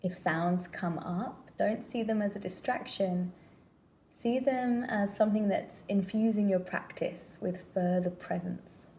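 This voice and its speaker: A woman leading a guided meditation with gentle, unhurried instruction and pauses between phrases. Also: mid-range voice, slight background noise.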